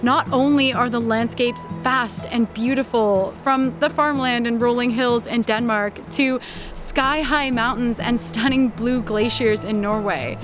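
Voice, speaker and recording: Young woman, slightly high voice, reflective vlog voice-over, steady music bed underneath. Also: a little slow. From Canada. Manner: calm, heartfelt, with small laughs. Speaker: vlogger.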